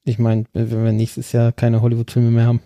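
Man, podcast co-host, mellow tone, dry close sound, excitable and laughing. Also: medium pitch, a little fast, dry recording. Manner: enthusiastic, laughs often. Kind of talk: casual chat.

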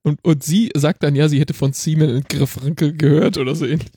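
A man's voice: fairly high pitch, steady tone, brisk, dark tone, breathy edge, no echo, clean studio sound. A man in a casual film chat, retelling a scene step by step. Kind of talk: casual chat about films.

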